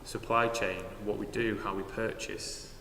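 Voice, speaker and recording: A man with a Northern English accent who manages university sustainability, speaking in a measured, matter-of-fact way on a live panel in a large church. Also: on the low side, flat delivery, bright, forceful tone. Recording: echoey church hall with noticeable noise.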